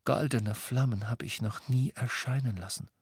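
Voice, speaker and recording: A troubled teenage boy speaks tensely and flatly, read by a male narrator. The sound is dry and close. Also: medium pitch, moderate pace, husky, dry recording. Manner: tense, flat. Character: tormented teenage boy wizard.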